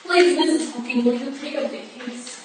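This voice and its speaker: Distant, echoey stage reading: woman as earnest Victorian lady client, high voice, formal and imploring. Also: fairly even intonation, normal speed, close to an everyday voice. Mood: grave, pleading. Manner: formal, dramatic. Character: earnest Victorian client pleading for help in a murder case. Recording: echoey room from far off, noisy.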